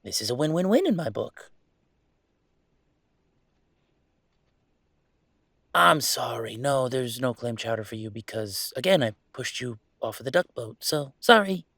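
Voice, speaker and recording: A man's voice: mid-range voice, lively intonation, normal speed, gravelly, hardly any pauses, fairly bright tone, no echo, clean studio sound. A man playing a restless young werewolf pack heir in an intimate audio roleplay, rambling conversationally with wry, wistful humor. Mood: wry, playful.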